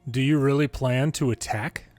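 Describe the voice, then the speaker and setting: A man's voice: mid-range voice, fairly even intonation, normal speed, darkish tone, dry recording. A man reading video game story dialogue aloud in the manner of an amateur longplay narrator. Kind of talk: reading game story text aloud, casual commentary asides. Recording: game music under the voice. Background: video game music.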